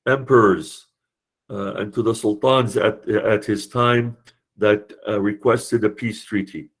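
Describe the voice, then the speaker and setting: Creaky low-voiced male lecturer. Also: poor audio. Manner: explains with rhetorical questions, frequent hesitant fillers. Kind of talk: university class lecture.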